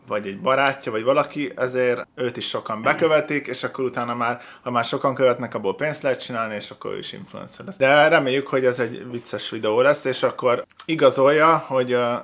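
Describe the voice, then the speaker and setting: Male reaction-show host, medium pitch, casual and sarcastic, talks in crude slang. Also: a bit bright. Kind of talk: casual chat, video commentary.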